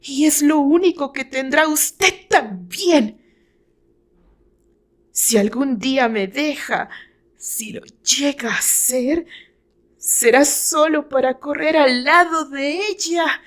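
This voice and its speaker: A woman voicing a fragile, tormented, tearful woman, bitter and resigned, with halting, breathy theatrical delivery in an audio novel. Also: sits high, pitched above the performer's own voice. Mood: anguished, bitter. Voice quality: thin, whispery voice at moments.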